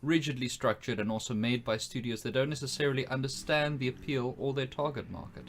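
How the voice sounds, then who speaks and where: A man's voice: mid-range voice, a little fast, crowd noise. A man co-hosting a casual podcast, speaking in an articulate, analytical and sarcastic way. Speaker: podcast co-host.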